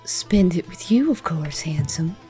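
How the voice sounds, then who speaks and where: Woman playing a laid-back affectionate heroine, mellow voice, casual and a bit playful, audio drama. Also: lowish voice, lively intonation, measured pace, faint music behind. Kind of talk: amateur audio drama acting.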